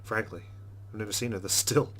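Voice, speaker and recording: A man's voice: on the low side, fairly even intonation, fairly quick, fairly bright tone, faint music behind. A man hosting a let's play, reading visual novel narration aloud expressively and dropping into casual asides.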